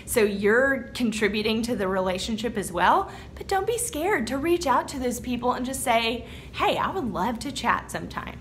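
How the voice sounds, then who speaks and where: A young woman with a Texan accent gives an upbeat motivational talk to her business team, her voice sitting a little high. She keeps things personal and encouraging, often checking in with a quick "okay?". A faint noise runs under the recording. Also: sing-song. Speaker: network marketing team leader.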